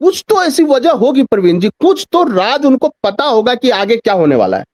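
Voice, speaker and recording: A man's voice: extremely high pitch, huge pitch swings, moderate pace, darkish tone, no echo, studio quality. A man speaking as a guest commentator in a political discussion, emphatic and repeating phrases for rhetorical effect.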